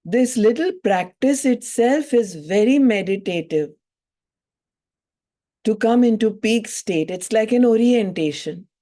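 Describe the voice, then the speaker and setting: Female meditation and breathwork guide: relaxed warm tone, unhurried delivery, soothing, spacious pauses. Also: on the high side. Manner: soothing, measured phrases with long pauses. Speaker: yoga and breathwork teacher.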